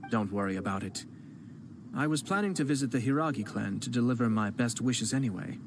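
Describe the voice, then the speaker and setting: A man's voice: mid-range voice, lively intonation, brisk, noisy background, lightly acted. An actor playing a composed, gracious young nobleman in a game, speaking in a polished, courteous way. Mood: calm. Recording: game audio with music underneath. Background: game music.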